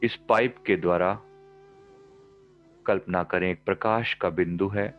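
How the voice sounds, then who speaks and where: A man with a low-pitched voice guides a meditation. He gives calm, measured instructions in short phrases, leaving pauses between them. The pace is very slow, and the recording sounds slightly dull. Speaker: meditation teacher and life coach.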